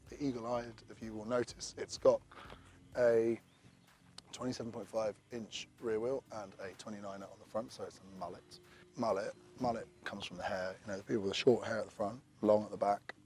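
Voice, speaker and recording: A man's voice: on the low side, a little mellow. A man who is losing his voice, chatting casually through a bike review to camera with rambling asides.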